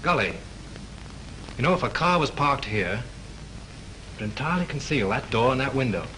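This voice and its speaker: A sharp young sleuth points out clues in a brisk, leading way. His voice rings bright and forward. Faint hiss hangs behind it, as on an old 1930s film soundtrack. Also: mid-range voice, very animated, poor audio. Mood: curious.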